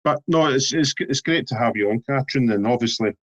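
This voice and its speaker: A Scottish man co-hosting a podcast who chats in a relaxed, rambling way and often repeats words. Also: mid-range voice, a little fast. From Scotland. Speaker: podcast co-host. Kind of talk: casual interview chat. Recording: dull lo-fi call audio.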